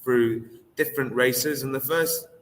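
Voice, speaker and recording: A young man, a triathlete talking casually as an interview guest, relaxed and hesitant with many fillers. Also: medium pitch, a little slow, long reverb tail, low-quality recording. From England.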